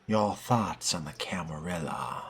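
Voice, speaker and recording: Casting: male player as inquisitive young vampire newcomer in a game, very low and drawling slowly, cool and low-key. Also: creaky, a bit bright, full character voice. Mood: low-key, curious. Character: laid-back, curious male fledgling vampire newcomer. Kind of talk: role-play of a video game character.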